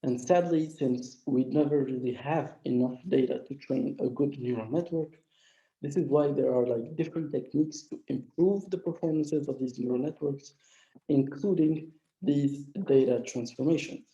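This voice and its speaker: A man with a mild flu lectures on code over a dull, lo-fi line in an echoey room. His voice is gravelly and dark, pitched a little high, and he talks through each step with plenty of "uh"s. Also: normal speed. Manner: explanatory, frequent "uh" fillers. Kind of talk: live online coding lecture.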